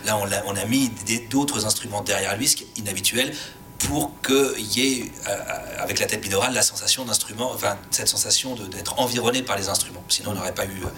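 A man's voice: lowish voice, fairly quick, sharp tone, a little hiss or hum. A man speaking as a creator on a panel, explaining his project informally and often rephrasing himself. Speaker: series creator and writer on a panel.

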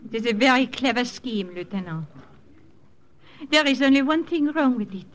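A woman's voice: on the high side, expressive, moderate pace, a little hiss or hum, lightly acted. An actress playing a proud young Frenchwoman with a French accent in a 1940s radio drama, speaking in a formal, clipped and cold way. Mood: cold, guarded. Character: proud young French woman, cool and refusing. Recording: old radio broadcast with music.

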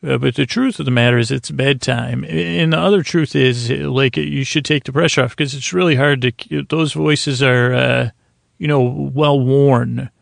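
A man with a creaky, gravelly voice hosting a sleep podcast, giving a halting, meandering, soothing bedtime monologue full of digressions. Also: medium pitch, no echo, clean studio sound. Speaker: podcast host. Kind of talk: rambling bedtime monologue for falling asleep.

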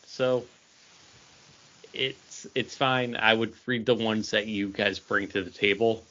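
Bright-voiced man, wry, on lo-fi audio. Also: lowish voice, fairly even intonation, takes it slowly, slight background noise. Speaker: podcast co-host. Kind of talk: casual chat. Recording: dull, lo-fi podcast audio.

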